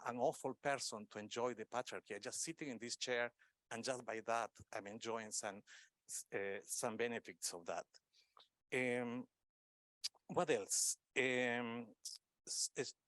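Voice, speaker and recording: A middle-aged academic gives an unscripted panel talk at a conference. He halts often and fills gaps with hums while searching for the next word. His voice sits at an ordinary pitch for a man and sounds close and dry, with no room around it. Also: fairly bright tone, no echo. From Peru. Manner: hesitant, searching for words with many fillers.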